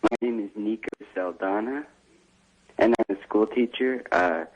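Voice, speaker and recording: A man's voice: lowish voice, unhurried, a little hiss or hum. A man calling a show by telephone to state his opinion, on a lo-fi line. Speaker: phone-in caller. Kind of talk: stated opinion on a phone call. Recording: dull, lo-fi phone call.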